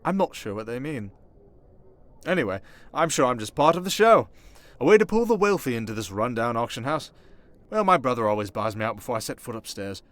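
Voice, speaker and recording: A man's voice: medium pitch, animated, a little fast, a bit bright, natural voice. A man doing a vain, sarcastic vampire noble. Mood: chatty. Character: sarcastic, smooth-talking male vampire noble. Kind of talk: ASMR roleplay.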